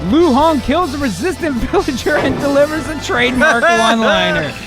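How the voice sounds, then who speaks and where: A man's voice: very high-pitched, expressive, moderate pace. A man narrating a scripted tale in a dramatic style as a co-host, prone to breaking up. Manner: breaks into laughter while reading. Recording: loud music behind the voice. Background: loud music.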